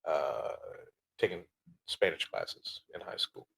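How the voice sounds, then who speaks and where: Cohost dude, really animated, trips over his words a bit. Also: a bass voice, vocal fry, breathy edge. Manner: enthusiastic, halting, with restarts. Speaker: podcast co-host. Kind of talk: casual podcast chat.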